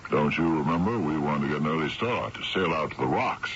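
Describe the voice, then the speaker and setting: An actor playing an easygoing, amused uncle in a radio drama, with a gravelly voice and folksy manner. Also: male voice, rumbling low, lively intonation, a little fast, a bit bright, full character voice. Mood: cheerful. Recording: dull, noisy old radio broadcast.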